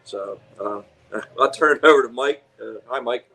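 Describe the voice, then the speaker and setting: A man co-hosting a firefighter webcast, talking casually and haltingly with many fillers. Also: medium pitch, a bit bright, breathy edge, soft background music. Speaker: firefighter co-host.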